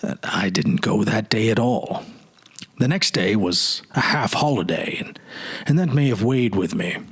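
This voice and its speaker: A wistful middle-aged gentleman, acted by a man, confides his memories in a slightly husky voice. The delivery is hesitant and musing, with lively swings of pitch, like a dramatic storybook reading. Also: a little slow, exaggerated voice.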